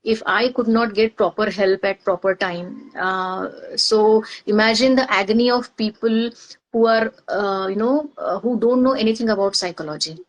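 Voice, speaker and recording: A middle-aged woman from Maharashtra, India, whose first language is Marathi, speaking as a guest and pausing often as she reflects on her past. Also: medium pitch, muffled recording. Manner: hesitant, many pauses and fillers. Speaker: guest, organizational psychologist. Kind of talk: reflective personal interview.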